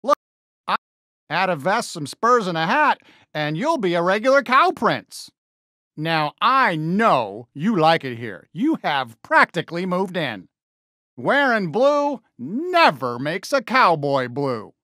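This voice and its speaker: A man's voice: sits high, big pitch swings, slow pace, bright, forceful tone, dry recording, clean studio sound, full character voice. A man voicing a friendly, upbeat toy cowboy sheriff for a video game, with an American accent and a folksy Western drawl. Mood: friendly, encouraging. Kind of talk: animated video game character lines.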